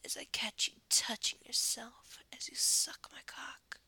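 A woman in an intimate adult roleplay recording, speaking mostly in a breathy whisper with a dominant, commanding manner. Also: a little slow, pushed and bright.

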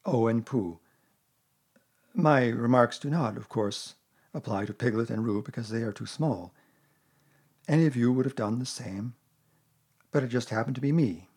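Storybook donkey, played by a man: doleful, ponderous, put-upon, with a husky edge. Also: medium pitch, moderate pace, long pauses, a little mellow, lightly acted. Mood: pompous. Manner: doleful, ponderous, long-winded. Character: gloomy, self-important, put-upon old donkey. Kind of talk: storybook character voice.

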